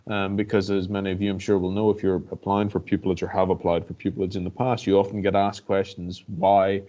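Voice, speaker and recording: This is a man with a Northern Irish accent and a lowish voice. He talks in a level, measured way and often stops to restart a thought. The sound is dull and lo-fi, like a video call. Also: flat delivery, dark tone. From Northern Ireland. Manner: reflective, hesitant, many restarts and fillers. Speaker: barrister and guest speaker. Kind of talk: personal talk to an online audience.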